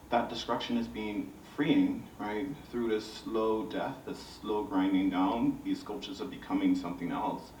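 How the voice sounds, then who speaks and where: A man's voice: lowish voice, a little mellow, muffled recording. Bahamian man, roomy distant recording. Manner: reflective, often ends statements with "right?". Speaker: artist on a discussion panel. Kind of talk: personal storytelling to an audience. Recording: roomy, distant mic, faint noise.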